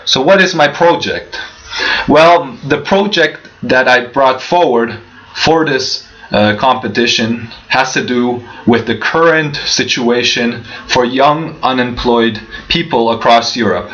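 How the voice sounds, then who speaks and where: Young man, keynote speaker, earnest and mid-pitched, over a muffled low-fi livestream. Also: takes it slowly, fairly bright tone. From Canada. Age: young adult. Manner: earnest, with frequent restarts and rhetorical questions. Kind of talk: prepared keynote speech. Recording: dull lo-fi livestream audio.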